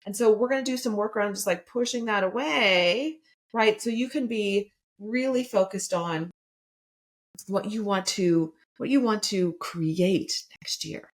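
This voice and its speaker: A woman in her fifties talks through a motivational podcast episode. Her pitch sits in a plain middle range. She sounds upbeat and chatty and keeps checking in with her listeners. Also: unhurried. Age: 50s. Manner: enthusiastic, chatty, frequent "right?". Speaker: podcast host and coach. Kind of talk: solo podcast, motivational talk.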